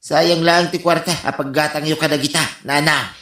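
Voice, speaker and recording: A man's voice: fairly high pitch, steady tone, normal speed, sharp tone. A man acting a boastful, money-minded but gullible village husband in Ilocano comedy radio drama, with an Ilocano accent and exaggerated hesitant delivery. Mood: evasive. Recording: roomy, echoing sound.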